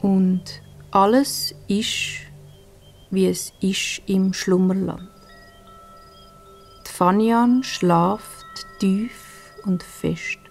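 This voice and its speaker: Bedtime storyteller: woman, Swiss German accent, hushed and soothing, unhurried pacing, creaky edges. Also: mid-range voice, steady tone, soft background music. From Switzerland. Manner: soft, soothing, breathy hushed delivery with gentle pauses. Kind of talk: scripted bedtime story narration for children.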